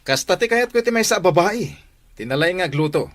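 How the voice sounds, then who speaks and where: Teasing, higher-voiced Ilocano buddy played by a man. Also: expressive, brisk. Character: outspoken, teasing male friend, sociable and admiring. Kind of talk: Ilocano audio drama acting.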